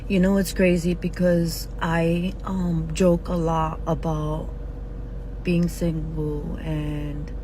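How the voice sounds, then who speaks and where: Slow-talking woman in her forties. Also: on the low side, level tone, noisy background. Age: 40s. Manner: halting, with fillers and pauses, frank and profane. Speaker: social media poster. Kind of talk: personal confessional video.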